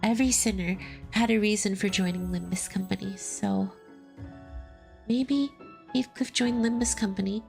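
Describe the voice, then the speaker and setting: A woman's voice: medium pitch, fairly even intonation, moderate pace, gravelly, long pauses, darkish tone, soft background music. A woman reading a game's story aloud as a streamer, breaking off to joke and laugh between passages. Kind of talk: dramatic reading with commentary.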